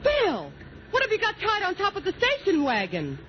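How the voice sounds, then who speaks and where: A woman's voice: extremely high pitch, huge pitch swings, moderate pace, full character voice. An actress playing an anxious woman in a 1940s radio comedy. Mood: anxious, surprised. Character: anxious woman calling after a departing man. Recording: muffled, noisy 1940s radio.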